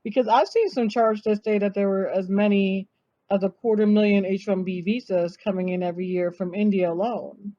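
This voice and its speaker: A woman hosting an interview, asking measured questions and reacting with drawn-out exclamations. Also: mid-range voice, steady tone, no room sound. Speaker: host, interviewer. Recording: dull, dry lo-fi sound.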